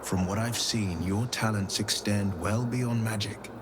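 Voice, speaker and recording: A man's voice: fairly low pitch, steady tone, unhurried, lightly acted. A man playing a loyal, good-natured young monster hunter in a video game, earnest and given to folksy phrases. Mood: reassuring. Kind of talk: video game character acting. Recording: clear background ambience noise.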